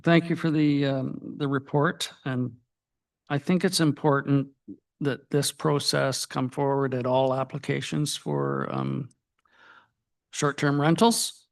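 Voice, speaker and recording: A man speaks up at a town council meeting in a halting manner, with pauses and fillers. He talks very slowly, and his voice has a gravelly fry to it. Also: medium pitch, expressive.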